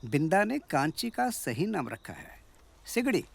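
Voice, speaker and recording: A man's voice: on the high side, sing-song, measured pace, gravelly, husky, heavily acted. An actor playing a stern village headman in a screen drama, commanding and scornful.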